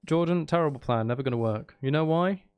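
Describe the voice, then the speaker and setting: A man's voice: on the high side, fast talker, clean tone, no room sound, dull audio. A man chatting casually as he hosts a gameplay livestream, teasing his viewers with playful mock threats. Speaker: streamer, host.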